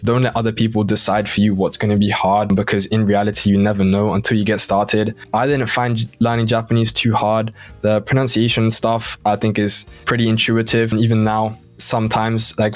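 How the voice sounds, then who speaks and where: Young male native English speaker for language-learning explainer: lowish pitch, little melodic movement, casual and reassuring. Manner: encouraging, reassuring. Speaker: language-learning video host. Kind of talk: casual explanatory talk to camera.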